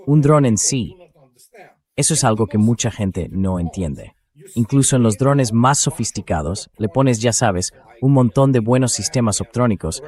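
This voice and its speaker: A synthetic male voice with a fairly low pitch, dubbed over an interview. He speaks as a guest commentator, blunt and dismissive, with a sardonic edge to his political and military analysis. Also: a little mellow. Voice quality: synthetic AI dubbing voice.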